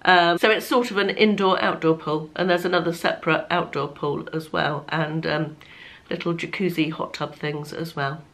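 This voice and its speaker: A slightly croaky woman, chatting to camera as a lifestyle vlogger, chatty and self-deprecating, often laughing as she talks. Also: low voice, steady tone, moderate pace, fairly bright tone. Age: 50s. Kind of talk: casual chat to camera.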